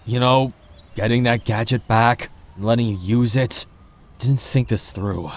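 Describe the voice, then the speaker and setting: A man voicing a gruff, sardonic, world-weary mercenary type in a visual novel. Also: mid-range voice, a little slow, natural voice. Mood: weary, exasperated. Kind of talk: visual novel dialogue read aloud.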